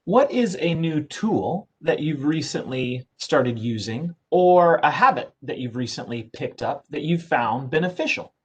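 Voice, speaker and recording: A man's voice: fairly high pitch, lively intonation, a little slow, hardly any pauses, breathy edge. A man hosting an interview who chats in a friendly way and reads out the guest's background. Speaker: interviewer, council host. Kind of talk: casual interview chat.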